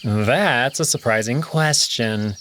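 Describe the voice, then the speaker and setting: A man voicing a young male alien prince and diplomat, speaking in a measured, formal, unhurried way. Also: mid-range voice, a bit bright, a little hiss or hum. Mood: explanatory.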